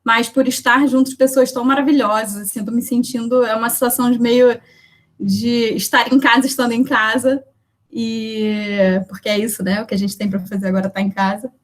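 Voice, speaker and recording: A woman's voice: fairly high pitch, little pitch movement, fairly quick. A woman poet and researcher from Brazil introducing herself at an online poetry event, chatting from home over a video call with lots of fillers. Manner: chatty, warm thanks, many fillers.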